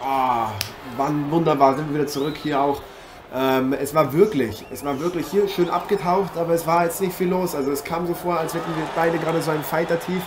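Man playing a higher-voiced rambling boxing commentator. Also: steady tone, a little fast, close to an everyday voice. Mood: rambling, genial. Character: chatty, joking male boxing interviewer and commentator. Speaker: sports commentator. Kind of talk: improvised mock sports commentary. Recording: clearly noisy amateur recording.

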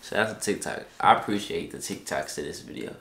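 A man's voice: low voice, fairly quick, fairly bright tone. A young man with a stuffed-up nose, hosting a music reaction at home, chatting in a playful, rambling way. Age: young adult. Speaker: reaction channel host. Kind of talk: casual chat. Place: living room at home. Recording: echoey room.

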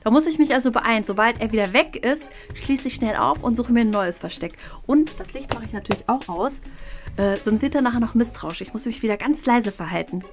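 A woman's voice: fairly high pitch, expressive, a little fast. A young woman talking casually to the camera as a vlog host. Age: young adult. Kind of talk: casual vlog talk to camera, prank challenge. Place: parked car, small tiled toilet, small studio room. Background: background music.

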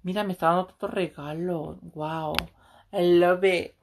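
A woman's voice: lowish voice, fairly even intonation, unhurried, gravelly, a little hiss or hum. A woman, chatting casually and flirtatiously on a livestream from a small room, with a phone held close. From El Salvador. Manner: brash, flirty, swears freely. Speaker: livestream host. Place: small room indoors, seated on a couch.

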